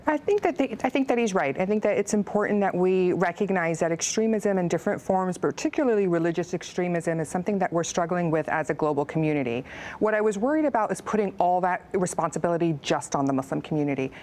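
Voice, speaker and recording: Fast-talking earnest woman guest. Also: on the low side, darkish tone. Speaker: policy expert guest. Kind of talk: studio interview answers. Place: TV news studio.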